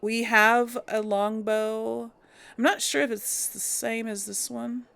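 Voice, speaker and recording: Giggly woman with a gravelly edge. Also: a little high, fairly even intonation, slow pace, fairly bright tone. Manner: chatty, giggly, thinks aloud. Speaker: let's play host. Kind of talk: casual gameplay commentary.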